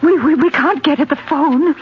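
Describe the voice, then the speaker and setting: A woman plays an elderly aunt with an extremely high voice, timid and fluttery, stammering anxiously in old radio drama style. The recording is dull and clearly noisy. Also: moderate pace, close to an everyday voice. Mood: fearful. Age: older. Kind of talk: 1940s radio drama acting.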